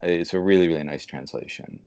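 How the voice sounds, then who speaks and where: Very deep-voiced man, measured and halting. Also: vocal fry, mellow, clean tone, muffled recording. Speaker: podcast guest, literary translator. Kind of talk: conversational interview.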